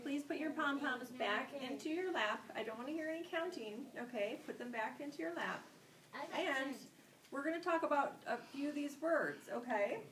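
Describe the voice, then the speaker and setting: A woman's voice: high-pitched, expressive, moderate pace, a bit bright. A woman working as an elementary school teacher leads an interactive vocabulary lesson, repeating key words and prompting the children with questions. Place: classroom, recorded from a distance. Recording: roomy, distant microphone with faint background noise.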